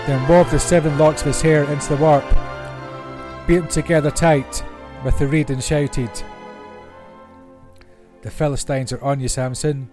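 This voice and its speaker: A man reading Bible stories aloud as scripted narration. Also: mid-range voice. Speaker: Bible reader. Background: classical orchestral music.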